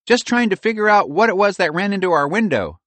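A chirpy, gentle female squirrel, as a man puts her on, pitched well above a normal speaking voice. She sounds sensible and light on her feet. The sound is dry and close. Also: expressive, fast talker, no echo.

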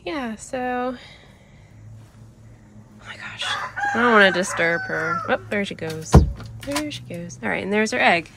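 A woman in her thirties giving a casual, explanatory homestead vlog, unhurried and pausing mid-sentence. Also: medium pitch, animated, creaky. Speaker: homesteading vlogger. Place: outdoors in a covered chicken run and coop. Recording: outdoor ambient noise.

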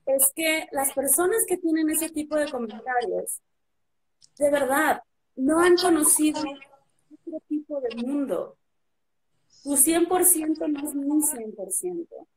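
A woman in her forties with a high voice, speaking earnestly on a webcam video call. She is measured and emphatic and leans on her key words. The delivery is heartfelt. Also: poor audio. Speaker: guest on a live stream. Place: room with a blue backdrop.

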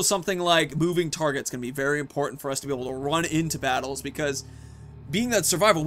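A man in his thirties giving chatty, animated Let's Play commentary, telling a roleplay story and stressing key words. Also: on the high side, a little hiss or hum. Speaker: gaming video host.

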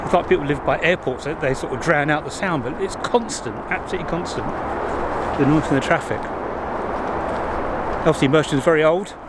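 A middle-aged man in his fifties, chatting casually and musing aloud while walking outdoors, filmed on a handheld camera at arm's length. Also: on the high side. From England. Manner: chatty, rambling, pauses to muse. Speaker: vlogger. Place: outdoors by a country churchyard near a motorway. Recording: loud traffic noise behind.

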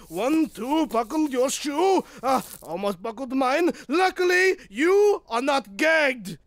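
A man's voice: very high-pitched, fairly bright tone, dry recording. A man voicing a vain, fame-hungry conqueror in a comedy podcast skit, with grandiose theatrical delivery. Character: vain boastful conqueror Genghis Khan, comic villain hungry for fame.

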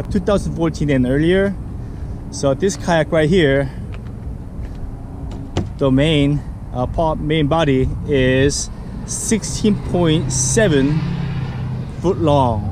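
Man, 30s, vlogger; slow, slightly high, excited, handheld action cam outdoors with steady background noise. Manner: upbeat, eager, loose with fillers. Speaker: fishing vlogger. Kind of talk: casual vlog talk to camera. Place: in a pickup truck, then an outdoor parking lot. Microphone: action camera on dash, then handheld at arm's length.

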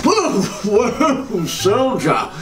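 Man doing a haughty slow-spoken villain. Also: high-pitched, huge pitch swings, pitched above the performer's own voice, exaggerated voice. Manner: theatrical, slow, superior. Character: pompous, evasive older male villain actor. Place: home hallway, indoors.

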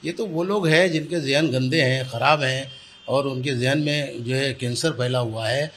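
A man's voice: medium pitch, moderate pace, a bit bright. Older man, noisy room. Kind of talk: spoken news interview answers. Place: small indoor office. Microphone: handheld reporter's microphone held close. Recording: clear background room noise.

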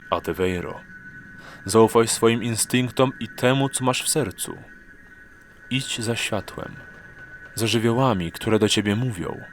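A man voicing a wise, gentle exarch in a fantasy audio drama, speaking in a grave, warm, measured way. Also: fairly low pitch, a little hiss or hum.

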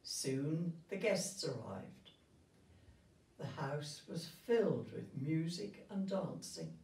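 An elderly woman reading a storybook aloud to children in a gentle, grandmotherly and expressive way. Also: deep voice, takes it slowly. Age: 70s or older. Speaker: retirement village resident reading a story. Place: indoor room with festive backdrop. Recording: roomy sound, distant microphone.

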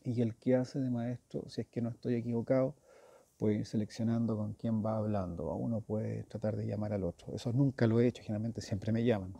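A man's voice: lowish voice, steady tone, brisk, vocal fry, warm tone, slightly rough, dry recording. A man giving a casual hands-on review as a motorcycle gear reviewer, informal and thinking aloud.

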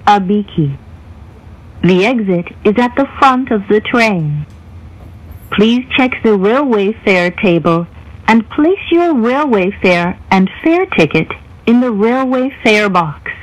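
Female announcer, mid-pitched, extremely slow and deliberate, formal in-car train announcements. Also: poor audio.

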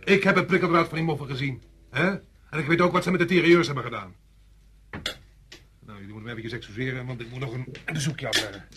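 An actor playing a cynical, knowing soldier in a radio drama, speaking drily in a husky voice. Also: male voice, medium pitch, fast, fairly bright tone, a little hiss or hum, close to an everyday voice. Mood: dry. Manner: dry, sardonic.

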